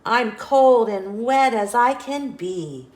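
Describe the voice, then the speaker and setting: A woman's voice: on the high side, expressive, slow, darkish tone, roomy sound, pitched above the performer's own voice. A woman voicing a plucky but plaintive little pig from a children's storybook.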